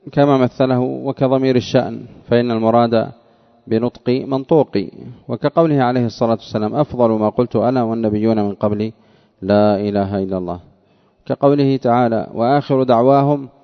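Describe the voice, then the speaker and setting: A man teaching grammar in a lecture, explaining a text line by line in a didactic way and repeating phrases for emphasis. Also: mid-range voice. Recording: dull lo-fi sound.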